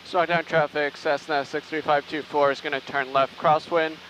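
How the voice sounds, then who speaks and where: A man's voice: on the high side, flat delivery, normal speed. A man pilot making clipped, procedural radio calls in aviation phraseology from a small airplane. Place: small airplane cockpit in flight. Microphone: aviation headset. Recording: noisy small-plane cockpit intercom audio.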